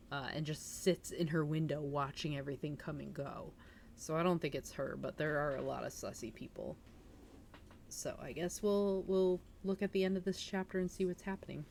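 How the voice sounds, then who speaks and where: Woman livestreamer with a lower voice, noisy background. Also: a little mellow. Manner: giggly, chatty, informal, slangy. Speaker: livestream host reading a novel aloud. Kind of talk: casual livestream chat and audiobook-style reading.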